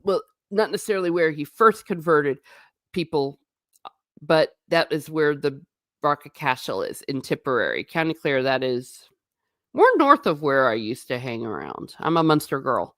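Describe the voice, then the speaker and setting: A woman's voice: low voice, very animated, moderate pace, fairly bright tone, dry recording. Chatty woman hosting a dry-sounding livestream. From United States. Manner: chatty, wry and tangential, with frequent restarts. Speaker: livestream host reading chat comments. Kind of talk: casual chat.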